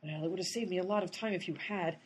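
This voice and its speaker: A woman's voice: lowish voice, quick pace, a little hiss or hum, lightly acted. A woman playing an exasperated, blunt, tough-talking detective in a comedic radio play. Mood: puzzled.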